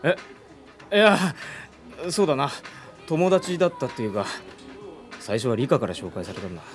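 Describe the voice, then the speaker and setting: A man's voice: mid-range voice, big pitch swings, normal speed, faint music behind, natural voice. A man playing a casual, evasive young stage director with an informal manner in a Japanese radio drama.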